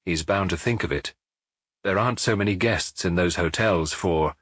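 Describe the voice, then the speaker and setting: A brooding middle-aged detective, voiced by a male narrator, muttering in a low, husky voice. He is terse, in a storybook crime tale. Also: normal speed, a bit bright, dry recording, clean studio sound. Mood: musing. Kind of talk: audiobook character reading.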